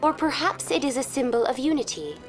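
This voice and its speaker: Polite space station info terminal, highish voice, formal measured tour guide delivery, faint music behind. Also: female voice, very animated. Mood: polite, musing. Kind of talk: scripted informational narration.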